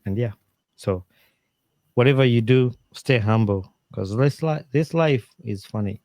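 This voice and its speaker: A man from Uganda, a podcast guest giving earnest, animated advice in casual interview talk, with plenty of fillers and restarts. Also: medium pitch, measured pace, dry recording.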